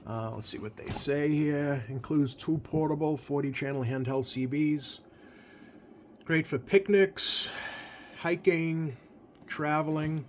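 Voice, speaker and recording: An American man giving a casual, unscripted product walkthrough as a hobbyist, speaking in a hesitant, halting way with drawn-out pauses. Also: on the high side. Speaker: radio hobbyist presenting a video. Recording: clear steady background noise.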